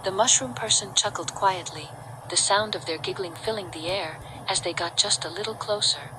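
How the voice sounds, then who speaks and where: A woman's voice: medium pitch, moderate pace. A woman reading a scripted story as its narrator. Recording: clearly noisy background.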